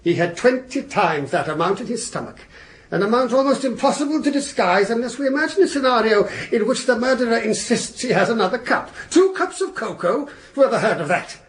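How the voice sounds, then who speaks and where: A high-pitched male barrister in a courtroom radio play. He is persuasive and rhetorical, his pitch sweeping up and down. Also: sing-song, brisk. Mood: sardonic, forceful. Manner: rhetorical, courteous but pointed. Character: eloquent, theatrical male defence barrister, shrewd and persuasive. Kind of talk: period courtroom radio drama acting.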